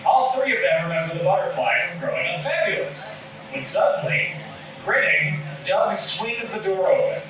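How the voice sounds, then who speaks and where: A man's voice: high-pitched, very animated, normal speed. A man reading stage directions as scripted narration at a live table read. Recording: echoey room, distant mic, clear noise.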